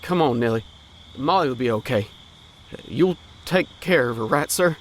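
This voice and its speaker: A man's voice: medium pitch, lively intonation, normal speed, slight background noise, close to an everyday voice. An actor playing an easygoing, slangy teenage boy with folksy grammar in a period audio drama. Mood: anxious, placating. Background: crickets and night insects.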